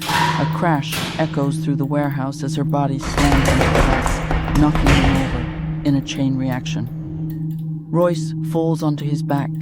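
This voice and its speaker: A man narrates a horror audio drama in a scripted, dramatic style. His pitch is a little high and stays fairly even, with loud music running under every line.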